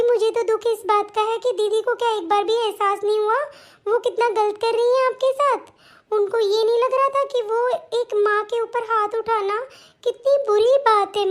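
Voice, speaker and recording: A woman plays a young daughter-in-law in a family cartoon. Her voice is tiny and extremely high-pitched. She comes across as sweet, dutiful and earnest, always deferring to her elders. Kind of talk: melodramatic family cartoon dialogue.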